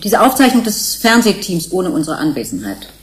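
An actress playing a frank, composed research scientist in a radio play, explaining things emphatically. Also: female voice, fairly low pitch, sing-song, normal speed, poor audio. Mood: frank, explanatory.

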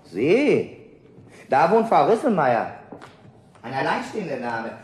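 A man's voice: on the high side, big pitch swings, a little slow, slight background noise. An actor playing a nosy, suspicious, officious male neighbour in a 1970s German radio play, speaking stiffly and formally.

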